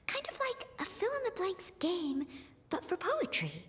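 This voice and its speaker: A woman playing a bright, earnest young female knight in an anime video game. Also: very high voice, wildly swooping pitch, a little slow, slight background noise, heavily acted. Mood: curious.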